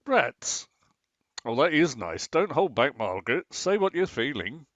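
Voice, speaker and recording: A man's voice: medium pitch, sing-song, unhurried, fairly bright tone, dry recording, muffled recording. A man acting a long-suffering, dryly sarcastic husband in a domestic radio comedy. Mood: wry. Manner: dry, exasperated sarcasm.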